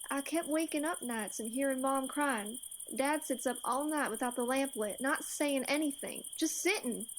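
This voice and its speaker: A woman's voice: high voice, normal speed, slight background noise, lightly acted, low-quality recording. A woman voicing a hurt, defiant ten-year-old frontier farm boy in a radio western, plaintive and earnest, with an American accent.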